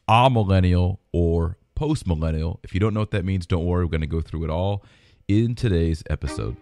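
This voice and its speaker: A man with a very low voice and a nasal, stuffy cold sound hosts a religious teaching podcast. He speaks, casually and with the odd "uh" and restart. The recording is close and dry, with no room sound at all. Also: mellow, dry recording. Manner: conversational, with fillers and restarts. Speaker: podcast host.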